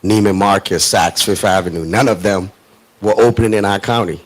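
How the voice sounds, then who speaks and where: Middle-aged man, very low voice, measured and reflective, panel guest at a live event. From Ghana. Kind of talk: panel discussion.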